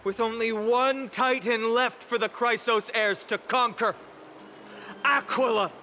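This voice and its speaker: A woman playing a solemn, resolute leader in a video game, giving a measured, earnest speech to a grieving city. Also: fairly high pitch, fairly even intonation, pushed and bright, slight background noise. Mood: rousing, resolute. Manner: measured, earnest, deliberate rhetorical delivery. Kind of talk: dramatic oratory, video game voice acting. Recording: orchestral music behind the voice.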